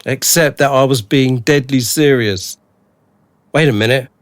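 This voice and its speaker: Actor as uneasy male prisoner in radio drama: sardonic, distrustful, middle-pitched, dry studio sound. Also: a little slow, dry recording, natural voice. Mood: suspicious, indignant. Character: rattled, sarcastic, suspicious male captive. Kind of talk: naturalistic radio drama acting.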